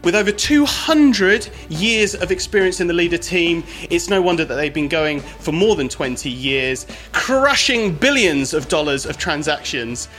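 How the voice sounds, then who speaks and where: A man with a British accent hosting a podcast, giving energetic, enthusiastic introductions. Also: a little high, moderate pace, few pauses, faint music behind. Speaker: podcast host.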